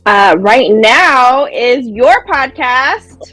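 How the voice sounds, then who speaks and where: A woman's voice: sits high, expressive, drawn-out words, dry recording, faint music behind, rough recording. Chatty woman guest, dry close sound. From California Bay Area, USA. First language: Mandarin. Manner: warm, open, laughs often, many "like" fillers. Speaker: podcast guest, life coach. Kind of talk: casual interview chat.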